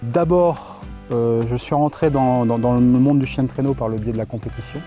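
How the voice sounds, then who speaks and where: Middle-aged male interviewee, mellow, hesitant delivery, recorded slightly off mic with music underneath. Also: medium pitch, moderate pace. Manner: hesitant, repeats words. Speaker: interviewee, professional sled-dog musher. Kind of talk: casual documentary interview.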